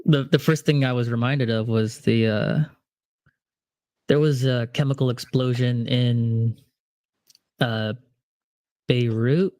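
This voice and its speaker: Male podcast host, rambling, mid pitch, dry close sound. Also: fairly even intonation, slow pace, pauses often, darkish tone, no room sound. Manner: rambling, many fillers and restarts. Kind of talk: casual conversation.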